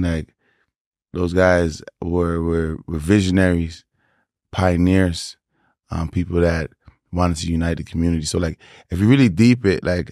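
A man with a Toronto accent, a podcast guest telling his story in a laid-back, animated way with slang asides. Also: sits low, no echo, studio quality. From Canada. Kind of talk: casual interview chat.

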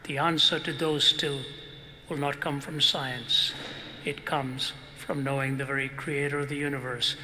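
An older man on stage in a large arena, giving a measured, emphatic answer to an audience question with a handheld mic. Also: medium pitch, little pitch movement, bright, forceful tone. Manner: measured, emphatic, quotes at length. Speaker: speaker. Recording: long hall reverb with faint noise.

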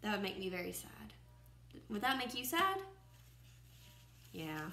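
A woman in her twenties reads a picture book aloud like a teacher to young children, expressively, pausing to comment and ask questions. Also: mid-range voice, big pitch swings, pushed and bright, slight background noise. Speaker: first-grade teacher reading aloud. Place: small room at home, indoors by a window. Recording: roomy, echoing home recording.

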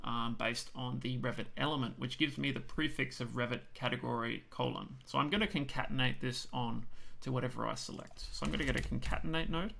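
An Australian man talks through a screen-recorded software tutorial in a relaxed, chatty way, filling pauses with ums. His voice is gravelly with lots of fry and has gone hoarse. He sits at a desk microphone. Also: mid-range voice, a little hiss or hum. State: losing his voice.

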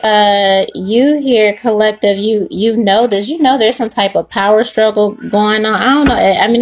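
A woman talks through a tarot spread at her table in a loose, slangy way. She swears casually and drops in laughing asides, speaking close to the camera. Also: mid-range voice. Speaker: tarot reader. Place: indoors at a table with cards.

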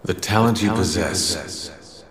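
A man playing an ominous, calculating warlord in a video game, with slow, portentous delivery. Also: low-pitched, a bit bright. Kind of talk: dramatic English game dub. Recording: long reverberant hall echo.

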